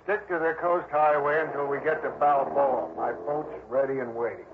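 An American man playing an outwardly gruff, evasive fisherman in his late fifties in a 1960s radio drama. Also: fairly high pitch, fairly even intonation, normal speed, phone-quality sound, natural voice. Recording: thin, band-limited old radio, faint noise.

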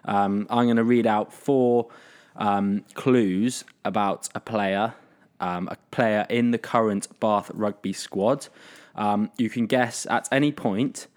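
A man hosts a relaxed podcast quiz, reading clues aloud. His voice sits a little low, and he stumbles through fillers and breaks into laughter easily. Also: slow. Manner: laughs often, hesitant fillers. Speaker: podcast host running a quiz. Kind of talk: casual podcast chat.

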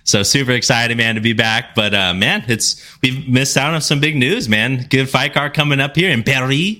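A man's voice: medium pitch, normal speed, sharp tone. A man co-hosting a casual sports podcast, enthusiastic and full of slangy hype-man talk. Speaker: podcast co-host.

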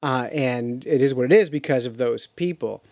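A man who hosts a podcast, speaking in a measured, deliberate way. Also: mid-range voice, darkish tone, no echo. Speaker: podcast host. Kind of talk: casual podcast chat.

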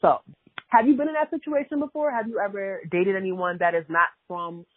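Chatty woman podcast host, breathy, thin phone-like sound. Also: mid-range voice, steady tone, brisk, dry recording. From Ghana (family), raised in America. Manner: chatty, filler-heavy, laughs easily. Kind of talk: casual chat.

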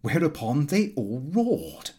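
A man reading a comic first-person diary aloud in an expressive, fussy storytelling manner. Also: a little high, slow, husky. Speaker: audiobook narrator.

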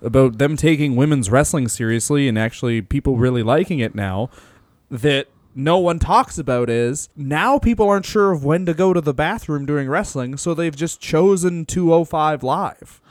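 A man's voice: fairly high pitch, moderate pace, no room sound, studio quality. A man co-hosting a wrestling podcast, telling stories in a loose, joking way and laughing often. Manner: laughs often, animated storytelling. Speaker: podcast co-host. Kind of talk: casual podcast chat.

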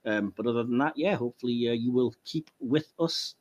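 A man's voice: medium pitch, a little fast. A man with a British accent hosting a podcast in casual, chatty, rambling talk. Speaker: podcast host.

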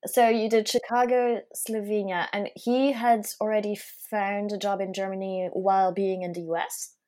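A woman's voice: medium pitch, level tone, normal speed, a little mellow. A woman hosting a podcast, speaking in the style of a casual interview with introductions. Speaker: podcast host.

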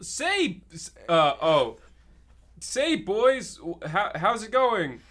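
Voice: mid-range voice, very animated, slow pace, fairly bright tone, heavily acted. A performer playing a feeble, hesitant old lady who stammers and repeats words in a comic radio play. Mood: feeble, halting. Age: older. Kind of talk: amateur comic radio drama acting.

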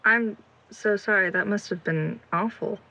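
A woman's voice: medium pitch, unhurried, pushed and bright, poor audio. A young woman acting a blunt, determined investigator in an audio drama. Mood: serious. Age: young adult. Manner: blunt, insistent.

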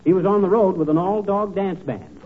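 A man's voice: high voice, big pitch swings, a little fast. A man playing a blustery, bumbling but kindly married wisecracker with folksy, slangy patter, in 1940s radio comedy style. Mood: boastful. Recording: tinny, noisy 1940s radio recording.

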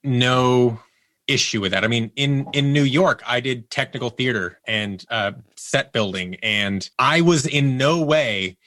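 A man's voice: medium pitch, a little slow, a bit bright, dry recording. Candid man telling anecdotes, dry sound. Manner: animatedly acts out quoted dialogue, candid. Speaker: interview guest, audio drama producer. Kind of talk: casual conversational storytelling.

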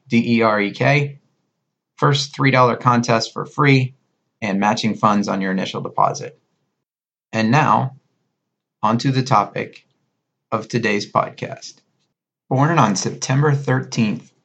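Guy hosting a podcast, normal pitched voice, reading and chatting, kinda muffled lo-fi sound. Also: vocal fry, fairly bright tone. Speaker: podcast host. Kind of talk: conversational podcast talk, sponsor reads and scripted narration.

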